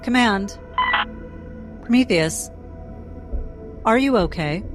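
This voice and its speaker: A woman's voice: medium pitch, lively intonation, takes it slowly, music in the background. A woman playing a polite, reserved and careful character in an acted space drama. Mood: concerned, probing.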